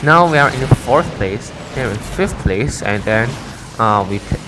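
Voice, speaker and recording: A man's voice: medium pitch, animated, unhurried, over music, rough recording. A young man casually commenting on his own racing gameplay, rambling and correcting himself as he goes. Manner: rambling, self-correcting, chatty. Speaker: gaming YouTuber. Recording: game sound loud behind voice. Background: racing game engine sounds.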